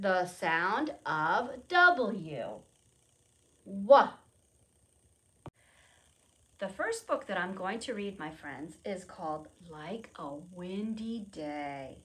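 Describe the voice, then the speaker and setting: A woman presenting a preschool storytime, reading aloud and explaining in simple, expressive phrases with questions for young children. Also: medium pitch.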